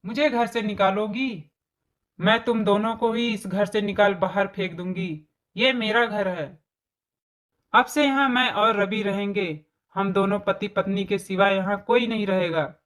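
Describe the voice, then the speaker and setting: A woman's voice: medium pitch, close to an everyday voice. A woman voicing a bossy, scheming young daughter-in-law in a storybook tale, scolding and commanding.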